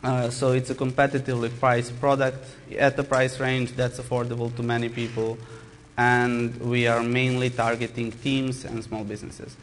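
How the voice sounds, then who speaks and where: A man with a Serbian accent talks through his product in English, his pitch staying flat and unvaried. He restarts words and phrases often, over a faint background hum. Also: big-room sound. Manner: halting, frequent restarts and cut-off words. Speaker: software startup founder on a panel. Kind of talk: panel introduction.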